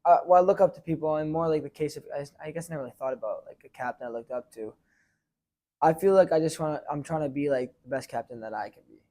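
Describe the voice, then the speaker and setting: A teenage boy and high school goalie answering interview questions casually, rambling with many fillers and restarts. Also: fast talker, dark tone. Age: teens.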